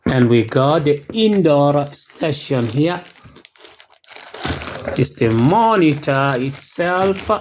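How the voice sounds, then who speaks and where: An unboxing host giving a casual product walkthrough in short, simple, clipped phrases, at a medium pitch. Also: lively intonation, slow pace, long pauses. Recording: noisy, with clicks and crinkling packaging.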